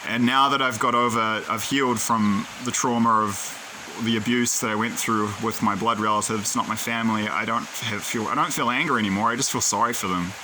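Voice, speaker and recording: A man's voice: fairly low pitch, fairly even intonation, fairly quick, hardly any pauses, background noise. A man talking casually to his own camera in a rambling, digressive, opinionated way. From New Zealand. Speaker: video creator. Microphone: camera he films himself with.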